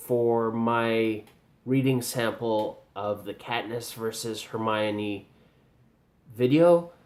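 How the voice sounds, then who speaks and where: A man with a Canadian accent giving a measured, teacherly video response on pronunciation, pausing often with ums. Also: mid-range voice, a little mellow. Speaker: video commentator reviewing pronunciation. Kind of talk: slow spoken video response.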